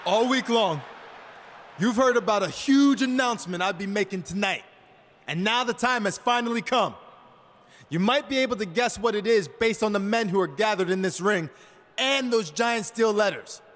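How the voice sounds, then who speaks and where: A man's voice: high voice, moderate pace, fairly bright tone, long reverb tail. A man playing a showy wrestling authority figure making a ceremonial announcement in a video game. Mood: grand. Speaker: announcer addressing the ring.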